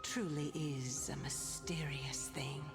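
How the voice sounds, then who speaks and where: A woman voicing a calm, gracious ancient goddess in human disguise, speaking softly in a breathy half-whisper. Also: low voice, takes it slowly, long reverb tail. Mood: calm, knowing. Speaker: game character. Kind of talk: video game voice acting. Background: game music.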